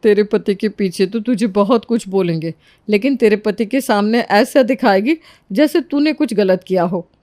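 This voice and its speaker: A woman's voice: mid-range voice, normal speed, darkish tone, no room sound, clean studio sound, close to an everyday voice. Woman acting a knowing, cautionary young friend.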